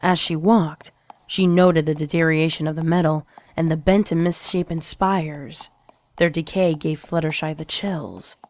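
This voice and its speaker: A woman reading a scripted story as narrator, in a measured, suspenseful, dramatic storytelling manner. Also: sits low, darkish tone, no room sound. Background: music.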